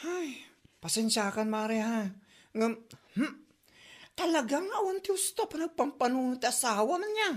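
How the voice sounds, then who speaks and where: A woman's voice: high voice, expressive, moderate pace. A woman with an Ilocano accent playing a complaining neighbor in a comedy drama.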